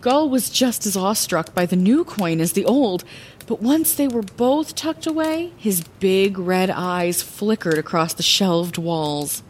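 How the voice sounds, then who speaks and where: A woman playing Zellera, a scrappy, chatty lighthouse keeper, telling a fantasy audio drama bedtime story with hesitant, expressive asides. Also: mid-range voice, unhurried, slight background noise, close to an everyday voice. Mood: storytelling, playful.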